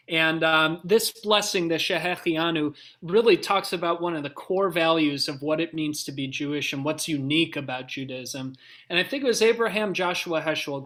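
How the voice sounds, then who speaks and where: A man speaking as a cantor on a video call, explaining a blessing to a community in measured, deliberate phrases. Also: on the high side, a bit bright. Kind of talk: explanatory talk introducing a blessing.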